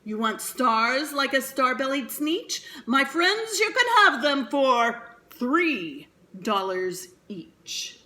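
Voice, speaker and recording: Storybook huckster played by a woman: male, slick, eager, emphatic, higher register, animated. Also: slow pace, hardly any pauses, heavily acted. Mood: eager, salesy. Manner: emphatic sales pitch.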